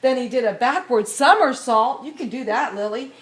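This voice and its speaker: A woman reading a picture book expressively to young children, pausing to ask them questions and echo their answers. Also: a little high, animated. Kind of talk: expressive storybook read-aloud with questions to children. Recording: echoey room, distant mic, noisy background.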